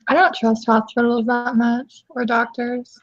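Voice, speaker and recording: A woman's voice: a little high, little pitch movement, measured pace, no room sound. A woman chatting casually in a group, offhand, tossing out abrupt questions. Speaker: chat participant. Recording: dry, dull lo-fi recording.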